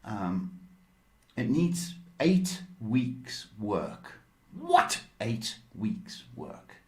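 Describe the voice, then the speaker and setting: Slow, tired-sounding man reading tarot. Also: fairly low pitch, huge pitch swings, darkish tone, a little hiss or hum. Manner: slow, drawn-out, playful with pet names. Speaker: psychic tarot reader hosting a livestream. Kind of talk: casual livestream reading.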